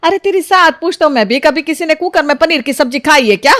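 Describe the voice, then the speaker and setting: A woman's voice: high-pitched, expressive, quick pace, a bit bright, no room sound, studio quality, voice pushed up. A woman voicing a weary, scolding older mother-in-law in a family story, exasperated and lamenting. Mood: scolding, outraged.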